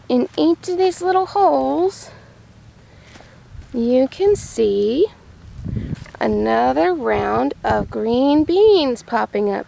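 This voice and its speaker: A woman's voice: high voice, creaky, mellow. A woman farmer giving an upbeat show-and-tell tour for preschoolers, explaining things in simple words. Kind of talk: simple child-directed show-and-tell narration. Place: vegetable farm, in growing tunnels and outdoor beds.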